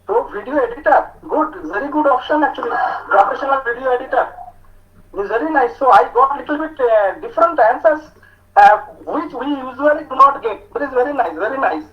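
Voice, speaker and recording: A man's voice: very high-pitched, lively intonation. Man, Indian English accent, online lecture to students, hesitant, muffled call audio. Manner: talks slowly with frequent hesitations, reads out student answers. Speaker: science officer giving an online talk to students. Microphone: video call.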